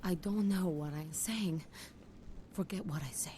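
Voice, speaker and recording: A woman playing a troubled video game seer haunted by visions, speaking in a halting, cryptic, trancelike way. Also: lowish voice, warm tone, a little hiss or hum, lightly acted. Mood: apologetic, withdrawn. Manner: halting, cryptic, drifting between trance and fear. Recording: music behind the voice.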